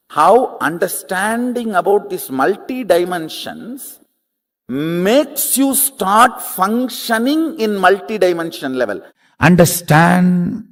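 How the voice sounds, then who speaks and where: Indian guy guru preaching, swoops up and down in pitch, drawn out emphatic bits, some hall reverb. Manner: emphatic, halting short phrases with repetition. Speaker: spiritual guru teaching a course. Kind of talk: spiritual discourse.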